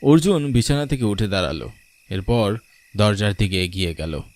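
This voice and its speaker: A man's voice: on the low side, lively intonation, moderate pace, no room sound. A man narrating a scripted cartoon folk tale as a dramatic storyteller. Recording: music behind the voice.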